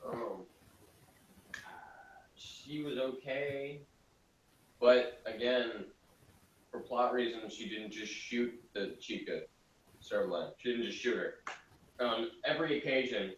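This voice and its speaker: Man, distant roomy sound, faint noise, unhurried pace, casual guest reviewer. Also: medium pitch. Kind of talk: casual chat.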